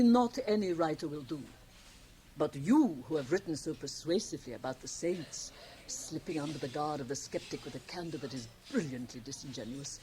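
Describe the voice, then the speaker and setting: A woman's voice: deep voice, dark tone, poor audio. An actress playing an imperious, shrewd woman who runs a company, formal and persuasive in a radio drama. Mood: coaxing. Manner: formal, deliberate, coaxing. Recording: hissy 1980 radio cassette transfer.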